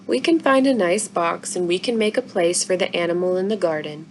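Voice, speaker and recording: A woman's voice: fairly low pitch, fairly quick, close to an everyday voice. A woman reading a storybook as a practical, easygoing mother with a matter-of-fact manner. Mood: consoling. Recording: noisy room recording.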